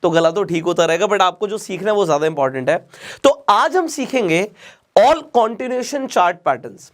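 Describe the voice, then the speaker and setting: A man from India with a sore, slightly hoarse throat, teaching a lesson and talking straight to his viewers, slipping English phrases into his Hindi. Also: sits high, lively intonation, normal speed. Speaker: trading teacher. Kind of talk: explaining lesson to camera.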